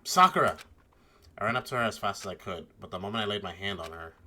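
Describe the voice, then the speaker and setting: A man's voice: lowish voice, animated, quick pace, noisy background, lightly acted. A man voicing an earnest, anxious teenage schoolboy who narrates his own mystery in a visual novel read-aloud, with dramatic expression. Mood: horrified shouting.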